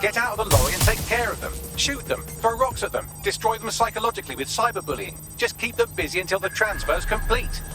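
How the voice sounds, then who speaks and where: A British man playing a cheeky, sardonic, excitable AI hacker assistant in a video game, with a gleeful, sweary delivery. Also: sits high, fast talker, few pauses, music in the background. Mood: wry urgency. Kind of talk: video game mission dialogue.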